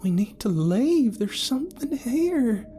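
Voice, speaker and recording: A woman's voice: lively intonation, slow, dark tone, slight background noise, exaggerated voice. A man voicing a defiant, frantic young woman who curses shrilly, over faint music. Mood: urgent. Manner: shrill and cursing.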